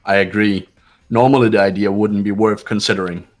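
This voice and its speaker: A man plays a coolly analytical student in a visual novel game. His voice sits fairly low, composed and deliberate with an air of command, over soft music. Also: fairly even intonation, lightly acted. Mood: stern, reasoning. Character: cool, commanding, analytical teenage male student.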